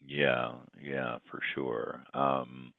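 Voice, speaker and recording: Deep gravelly male host, halting, tinny dry sound. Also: little pitch movement, no echo. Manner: halting, many fillers and restarts. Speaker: company president, panel host. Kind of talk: casual panel discussion.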